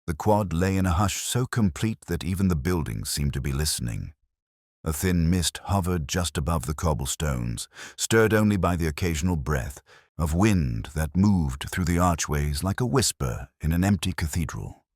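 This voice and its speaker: A man narrating a first-person detective story in a measured, brooding literary manner. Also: low voice, expressive, darkish tone, dry recording, studio quality.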